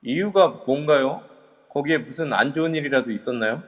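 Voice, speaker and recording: A man voicing a calm, determined young man who speaks politely but firmly. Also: on the high side, fairly quick, lightly acted. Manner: polite and firm. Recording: long reverb on a voice chat app.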